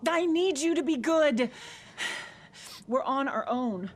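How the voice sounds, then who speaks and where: Slow, desperate injured mother. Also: sits high, lively intonation, slight background noise. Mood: urgent. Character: desperate, injured survivalist mother, pleading and weary. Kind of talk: sci-fi audio drama acting.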